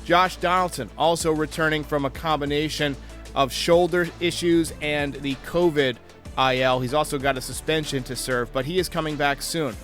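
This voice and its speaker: A man's voice: a little high, fairly even intonation, normal speed, fairly bright tone, soft background music. A man hosting a baseball fan show, delivering sports commentary and previews. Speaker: host of a baseball fan channel.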